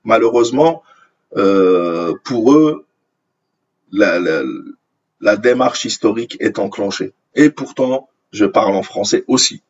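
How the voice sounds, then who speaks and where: A man from Algeria whose mother tongue is Arabic delivers opinionated commentary on the news. His voice is rough and husky, sitting a little low. He sounds indignant and hammers phrases by repeating them, on a slightly muffled, lo-fi recording. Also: slow pace. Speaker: online commentator. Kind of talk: opinionated commentary on news articles read aloud.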